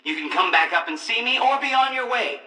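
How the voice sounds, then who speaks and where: A roomy, slightly distant voice of a gleeful combat robot, showing off like a salesman. It is bright and highly animated, full of exuberant boasting for a video game demonstration. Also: a little fast, exaggerated voice. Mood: upbeat, boastful. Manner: salesman-like patter with exuberant exclamations. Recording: roomy echo, distant from the mic.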